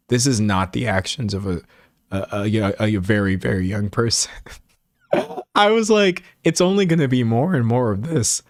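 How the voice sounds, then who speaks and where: Late 20s guy streamer just rambling to chat, animated, pokes fun at himself, medium pitch, dry close sound. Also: dry recording. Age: late twenties. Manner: animated, self-mocking, acts out imagined remarks. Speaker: livestream host. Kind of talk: casual chat, rambling monologue to viewers.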